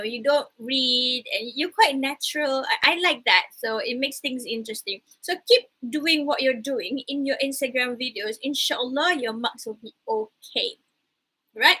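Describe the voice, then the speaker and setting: A woman's voice: fairly high pitch, pushed and bright, slightly rough, low-quality recording. A Malaysian woman lecturer talking to a camera in a chatty way, slipping Malay words into her English. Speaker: university lecturer. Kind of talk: recorded online lecture. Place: at home, alone with a camera. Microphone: camera in front of her.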